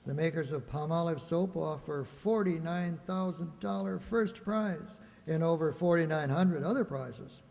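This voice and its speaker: A man plays an old-time radio commercial announcer, emphatic and salesmanlike. His voice is fairly high and carries a long hall reverb. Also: unhurried, gravelly, few pauses, a little mellow. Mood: persuasive. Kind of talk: 1940s radio ad read.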